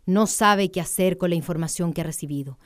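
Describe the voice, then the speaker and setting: A woman's voice: lowish voice, brisk, no echo, studio quality. A woman narrating a radio drama in a literary, scripted style. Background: plucked guitar music.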